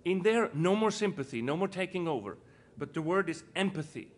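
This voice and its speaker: Man, middle-aged, from Switzerland, fairly high voice, informal panel speech, emphatic repetition, live recording with background noise. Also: lively intonation, a little fast. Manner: earnest, rhetorical questions, emphatic repetition. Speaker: panel speaker, entrepreneur and foundation co-founder. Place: indoor conference panel, live audience. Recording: live event room with clear background noise.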